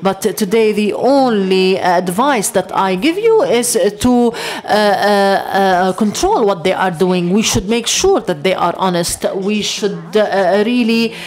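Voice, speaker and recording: Slow, halting woman interpreter. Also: mid-range voice, lively intonation, darkish tone. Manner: halting, with many long hesitations. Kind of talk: simultaneous interpretation of a lecture. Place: lecture hall.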